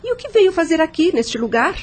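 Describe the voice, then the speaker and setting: An actress playing an elegant, mature landowner's widow in a radio drama, speaking in a formal, expressive, gracious way. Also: female voice, very high voice, a little fast. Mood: eagerly curious. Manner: formal, theatrical, expressive delivery.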